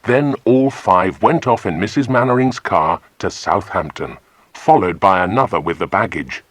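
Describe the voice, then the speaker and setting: A man's voice: sits low, lively intonation, moderate pace, no room sound. A man narrating a children's audiobook as a storyteller.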